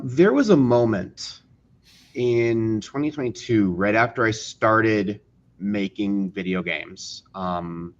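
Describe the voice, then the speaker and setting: A man's voice: fairly low pitch, lo-fi sound. A man speaking as an interview guest, chatting casually and telling stories. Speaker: interview guest, game developer. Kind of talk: casual chat and storytelling.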